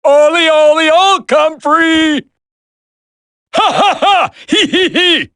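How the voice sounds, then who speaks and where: A man's voice: extremely high pitch, slow, pushed and bright, dry recording, clean studio sound, heavily acted. A man voicing a gleeful, sadistic, childishly taunting video game villain with a cackling, singsong delivery. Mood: manic glee.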